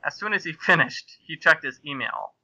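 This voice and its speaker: Bright, sharp male comic narrator. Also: fairly high pitch, moderate pace, no room sound, rough recording. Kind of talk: comic dramatic reading of amateur fan fiction.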